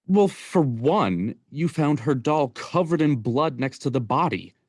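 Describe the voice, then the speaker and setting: A woman's voice: sits low, animated, measured pace, a little mellow, husky, no room sound, clean studio sound, exaggerated voice. A young woman acting in a radio play as an exasperated, incredulous uncle, a shady small-time salesman. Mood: accusing. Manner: incredulous, pleading. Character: exasperated, shady adult uncle, male watch peddler. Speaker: student actor in radio play.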